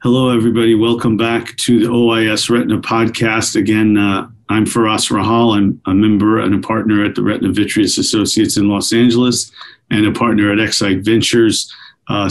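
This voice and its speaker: A man's voice: on the low side, poor audio. A middle-aged man hosting an interview podcast from his doctor's office over a video call, friendly and methodical, with occasional fillers. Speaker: podcast host, retina surgeon.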